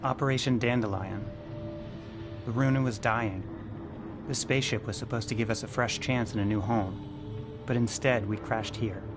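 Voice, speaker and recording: An actor playing an anxious, earnest spaceship survivor in a video game, with a dry conversational delivery. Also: male voice, lowish voice, fairly even intonation, normal speed, pauses often, natural voice. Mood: downcast. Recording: game music behind the voice. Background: game background music.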